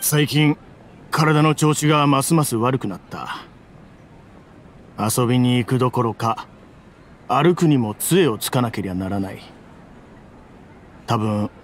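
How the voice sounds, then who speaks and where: A man's voice: mid-range voice, slight background noise, close to an everyday voice. An actor playing an aging, ailing desert mercenary father, reading a sentimental and boastful letter in an anime game. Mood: wistful. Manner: rough, boastful, sentimental masculine speech. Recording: background music.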